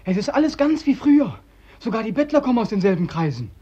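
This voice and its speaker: An actor playing a bitter, haunted young drowned soldier in a mid-century radio drama, mockingly deferential, accusing and anguished. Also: male voice, high-pitched, big pitch swings, quick pace, dark tone, husky, full character voice. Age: young adult. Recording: dull, band-limited old radio recording.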